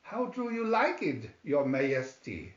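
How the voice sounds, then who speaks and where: A man's voice: a little high, sing-song, measured pace. A man voicing a sly storybook swindler posing as a weaver, with showy, ingratiating sales patter. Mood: flattering. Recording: roomy, dull lo-fi home recording.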